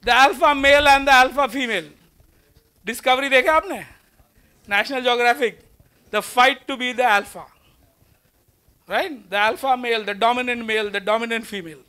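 A man's voice: extremely high pitch, a little fast, pauses often, pushed and bright, smooth. A man in his fifties with an Indian English accent, giving a conversational lecture to students in a large auditorium, asking them rhetorical questions and mixing in Hindi, recorded on a lapel mic. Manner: walks the stage gesturing while speaking. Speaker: guest lecturer.

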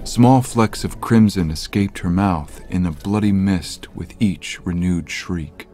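Low-voiced male horror narrator. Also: takes it slowly, mellow, slight background noise. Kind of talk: scripted horror story narration. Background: music.

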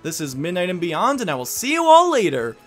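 A man giving upbeat, animated gameplay commentary as the host of a Let's Play. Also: sits high, a little fast. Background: game music.

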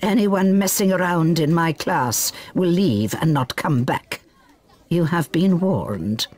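A woman's voice: fairly low pitch, animated, slow pace, a little mellow. A woman playing a strict, prim older professor in a video game, precise and authoritative. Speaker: teacher. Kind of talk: video game character acting.

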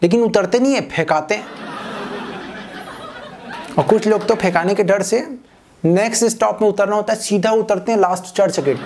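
A young man doing a stand-up comedy set on a small stage, telling an animated story and acting out other people's voices. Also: high voice, normal speed, long pauses, some chatter behind, a little hiss or hum. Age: young adult. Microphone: handheld mic. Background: audience laughter.

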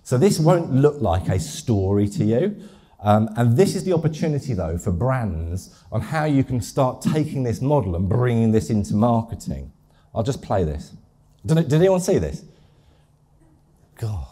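A man's voice: mid-range voice, moderate pace, breathy edge. Chatty man giving a marketing talk. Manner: lively, chatty, repeats words as he goes. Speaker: conference speaker. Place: dim event venue with small audience. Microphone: handheld mic.

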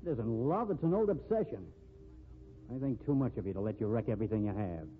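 A man's voice: mid-range voice, lively intonation, fast talker, close to an everyday voice. Actor as stern blunt man, 1950s radio acting, tinny narrow recording, faint noise. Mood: reproachful. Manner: forceful, lecturing. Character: stern, jealous, disapproving male friend, blunt.

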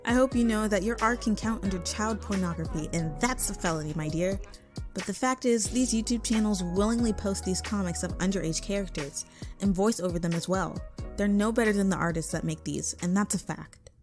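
A woman's voice: mid-range voice, brisk, over music. A woman co-narrating a scripted video essay in an emphatic, openly disapproving way.

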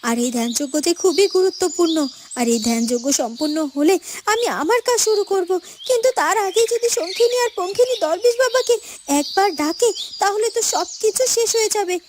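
A woman's voice: very high voice, brisk, background noise. A woman voicing an anxious female magical creature in a fairytale cartoon, speaking in dramatic exclamations. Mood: worried. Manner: exclamatory, repeats words for emphasis. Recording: loud music bed. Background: music.

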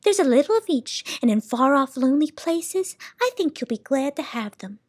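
A woman voicing a sorrowful but kindly female keeper of sounds in a read-aloud storybook, high and tearful. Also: very animated, normal speed, no room sound, clean studio sound. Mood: gentle. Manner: high, tearful, then gentle and motherly.

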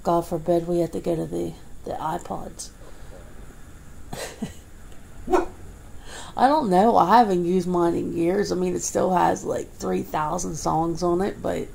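A woman's voice: lowish voice, creaky, dark tone, a little hiss or hum. A woman doing an ASMR roleplay at home, rambling chattily to an unseen partner with frequent laughs and fillers. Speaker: ASMR creator. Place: indoors at home.

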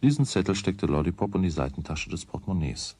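A man's voice: low voice, expressive, fast talker, a little mellow, slightly rough, no room sound, full character voice. A man narrating a children's radio play in a scripted storytelling style.

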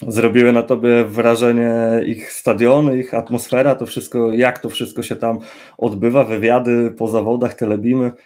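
A man's voice: medium pitch, flat delivery, moderate pace, a little mellow. A young man hosting an interview over a video call with a headset, asking long, winding questions with fillers. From Poland. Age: young adult. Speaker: interview host. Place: indoor room with gaming chair.

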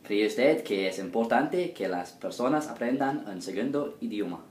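A young man reading set interview questions in a plain, even way, recorded in an echoey room. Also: on the low side, normal speed. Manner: reads set questions, brief thanks. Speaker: student interviewer. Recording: echoey room, faint noise.

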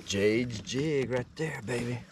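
A man's voice: mid-range voice, slow pace, heavy background noise. A man, chatting casually and slangily while fishing from a kayak. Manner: laid-back, slangy. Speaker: kayak angler and vlogger. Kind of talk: casual fishing vlog chat. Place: outdoors in a kayak on a river. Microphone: action camera mounted on the kayak. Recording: outdoor action camera, distant at times.

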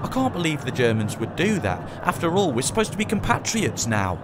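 Male actor as naive football spectator, audio drama, animated intonation, very noisy stadium backdrop. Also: a little high, a little fast. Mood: incredulous. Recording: loud crowd and noise behind.

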